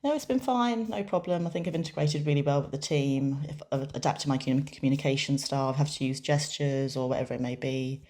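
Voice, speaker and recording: A woman interpreting a deaf guest's answers in the first person, measured, with frequent pauses and restarts. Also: rumbling low, dark tone.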